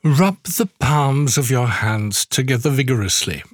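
A man leading a guided yoga nidra meditation, giving soothing instructions slowly with long pauses. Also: mid-range voice, lively intonation, no echo, studio quality.

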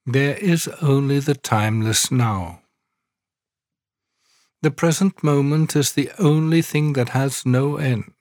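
Male meditation narrator, unhurried and calm, mid-range voice, soothing scripted guidance with generous pauses. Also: medium pitch, no echo, studio quality. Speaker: meditation guide, podcast host. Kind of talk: guided yoga nidra relaxation meditation, scripted narration.

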